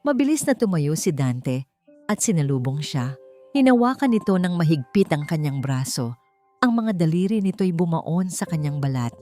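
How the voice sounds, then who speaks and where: A woman's voice: low-pitched, sing-song, normal speed, mellow. A woman with a Filipino accent reading a dramatic scripted story as an audiobook narrator. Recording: music bed under the voice. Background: background music.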